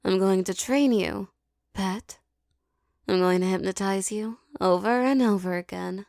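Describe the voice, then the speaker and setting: A woman performing a scripted hypnosis roleplay, speaking softly and commandingly with a teasing edge. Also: medium pitch, vocal fry, no room sound, clean studio sound. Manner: slow, soft, commanding and teasing.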